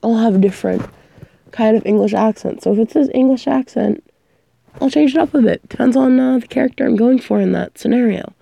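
A woman's voice: a little high, a little fast, gravelly, warm tone, no room sound. A young woman who is sick and coughing, rambling casually about her work as an audio performer and often losing her train of thought.

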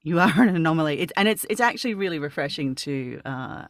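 A man's voice: fairly high pitch, expressive, fast talker, a bit bright, dry recording, clean studio sound. A man with an Australian accent hosting a casual interview, asking rambling, hesitant questions full of fillers. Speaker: podcast host, audio creator.

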